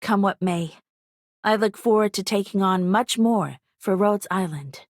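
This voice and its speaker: A woman's voice: mid-range voice, measured pace, no room sound, clean studio sound, close to an everyday voice. A woman voicing an earnest, steadfast swordswoman. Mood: earnest resolve. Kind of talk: scripted game character lines.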